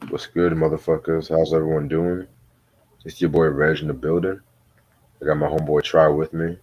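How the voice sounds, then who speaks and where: This man has a very low, deep voice. He talks like the host of a loose music review among friends, informal and slangy. Swearing comes freely as part of how he speaks. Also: level tone, normal speed, darkish tone, muffled recording. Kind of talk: casual profane chat.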